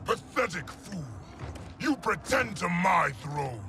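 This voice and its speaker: A man's voice: sits high, big pitch swings, takes it slowly, pushed and bright, raised voice, faint music behind, heavily acted. A man playing an enraged, arrogant warlord emperor in a cinematic video game, bellowing imperiously and with contempt. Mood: contemptuous rage.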